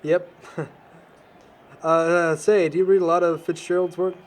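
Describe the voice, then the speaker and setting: Man playing shy, higher-voiced young newcomer. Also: flat delivery, a little slow, close to an everyday voice. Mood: eager. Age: young adult. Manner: hesitant, polite, apologetic. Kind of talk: amateur audio drama acting.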